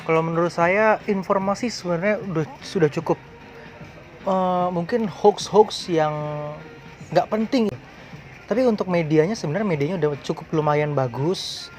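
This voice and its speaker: Man in his twenties, somewhat high. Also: moderate pace, soft background music. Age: 20s. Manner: relaxed, casual slang. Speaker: interviewee. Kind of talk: casual interview answers.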